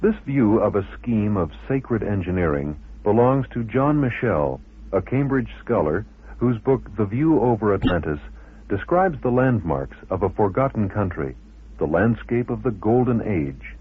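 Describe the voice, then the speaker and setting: Lively husky male radio presenter, tinny sound. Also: fairly low pitch, measured pace. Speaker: documentary presenter. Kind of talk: scripted radio documentary narration. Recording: narrow-band old radio recording.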